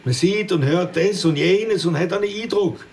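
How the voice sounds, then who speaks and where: An actor playing a brusque, condescending provincial police inspector with a creaky voice in a naturalistic radio drama. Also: male voice, high-pitched, normal speed, a little hiss or hum. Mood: dry, condescending. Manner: dry, condescending, officious. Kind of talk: naturalistic German-language radio drama acting.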